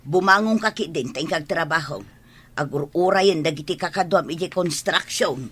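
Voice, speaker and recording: A woman's voice: low-pitched, moderate pace, fairly bright tone, husky. A woman playing a fussing, candid elderly mother in a radio drama, coaxing and scolding expressively. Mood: nagging, concerned. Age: older.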